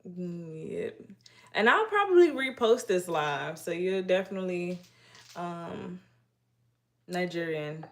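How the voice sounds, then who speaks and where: A woman's voice: on the low side, expressive, takes it slowly, pauses often. A middle-aged woman from Massachusetts, hosting a casual livestream and chatting with viewers in a shy, rambling way with many restarts. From Massachusetts, United States. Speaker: livestream host. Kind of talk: casual live chat with viewers.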